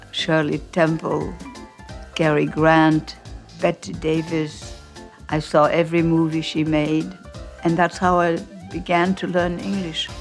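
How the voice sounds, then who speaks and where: Slow, gravelly older woman recalling. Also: deep voice. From Austria. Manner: slow, reflective storytelling. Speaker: interviewee. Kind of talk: documentary interview recollection.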